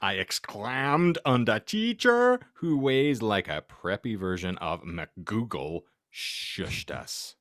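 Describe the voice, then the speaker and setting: Male podcast host, low voice, very animated intonation, theatrical comic story reading, deliberate stumbles, dry close sound. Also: no room sound. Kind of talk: comic dramatic reading of fan fiction.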